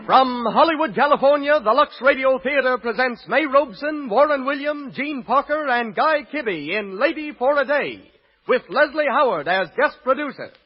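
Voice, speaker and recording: High-pitched American man proclaiming over loud music. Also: animated, normal speed. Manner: projected, proclaiming. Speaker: radio show announcer. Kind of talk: 1930s radio show opening announcement. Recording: muffled, reverberant 1930s radio broadcast.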